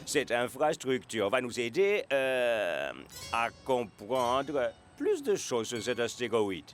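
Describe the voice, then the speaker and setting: A grandiloquent cartoon coach, courteous yet secretive. His medium-pitched voice rises and dips widely over clear background music. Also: sing-song, slow pace. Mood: evasive. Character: smooth, courteous, secretive male rival football coach. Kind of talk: animated cartoon dub acting. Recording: music behind the voice.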